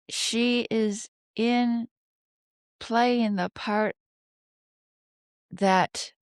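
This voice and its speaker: A woman host reads tarot cards in a slow, deliberate delivery. Her voice is smooth and clear, recorded close and dry. She thinks out loud, pausing often and putting questions to the air. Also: medium pitch, dry recording. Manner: halting, pondering aloud with rhetorical questions. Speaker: tarot card reader, host. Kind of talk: unscripted tarot reading commentary.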